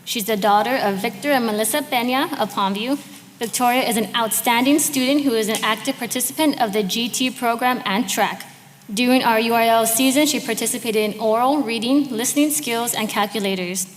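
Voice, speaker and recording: A woman school board member reading a prepared introduction of a student in a steady way. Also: on the high side, fairly quick, long reverb tail, a little hiss or hum.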